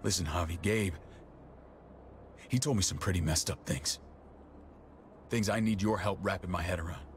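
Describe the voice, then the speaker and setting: An actor playing a stern, middle-aged American survivor-camp authority in a video game, curt, commanding and menacing. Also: male voice, deep voice, normal speed, long pauses, slight background noise, lightly acted. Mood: grave. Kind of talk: dramatic video game voice acting.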